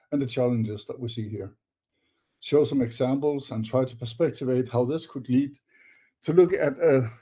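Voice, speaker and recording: An older man with a Danish accent, a non-native English speaker, presents a webinar in a measured, slightly halting way. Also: mid-range voice, dark tone. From Denmark. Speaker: presenter, technology advisor. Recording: dull lo-fi webinar audio.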